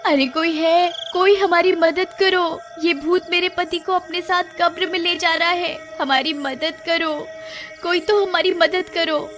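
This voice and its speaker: A woman's voice: very high-pitched, fairly even intonation, a little slow, fairly bright tone. A woman voicing an anxious, devoted wife in a cartoon horror story, speaking in plaintive, lamenting cries. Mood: desperate pleading. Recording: music behind the voice.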